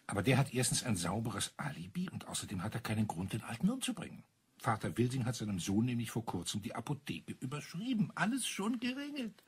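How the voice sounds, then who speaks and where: A man playing a self-assured, wry colonel in a crime radio play, husky and breathy, teasing and savouring his account. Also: mid-range voice, wildly swooping pitch, a little fast, full character voice. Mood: amused relish. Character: wry, self-assured male colonel relishing a murder mystery.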